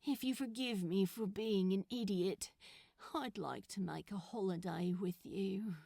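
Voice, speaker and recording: A woman's voice: medium pitch, measured pace, gravelly, warm tone, husky, no room sound, studio quality, pitched below the performer's own voice. A woman voicing a mischievous, lovestruck male demon in a fan-fiction story, blurting emotionally and dramatically. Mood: earnest, apologetic. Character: frantic, remorseful male demon in love, mischievous.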